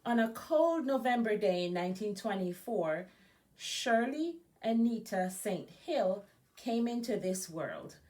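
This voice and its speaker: A woman from Jamaica with a medium-pitched voice reads aloud for a children's story time. She is a guest reader from an education nonprofit and adds informal asides of her own as she goes. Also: lively intonation, a little slow, darkish tone. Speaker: education nonprofit executive director reading as guest.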